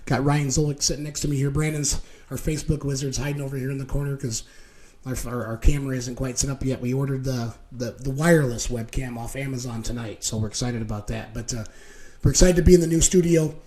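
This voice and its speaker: A male show host talks very quickly in a casual, chatty way. His voice sits at a medium pitch and carries a slight hoarseness. The delivery is loose and informal, like live talk between co-hosts. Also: steady tone, warm tone.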